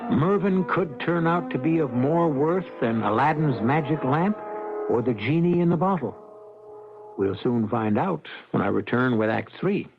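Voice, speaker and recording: A man's voice: on the high side, animated, measured pace. A man hosting an old-time radio drama, reading scripted narration with wry, literary, theatrical phrasing. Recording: dull vintage radio recording. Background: music.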